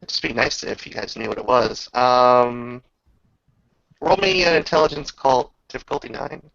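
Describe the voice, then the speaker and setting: A man with a creaky voice narrating a tabletop roleplaying game as game master, halting and pausing mid-sentence over online voice chat. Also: medium pitch, lively intonation, a bit bright, no room sound. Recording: dull lo-fi voice chat.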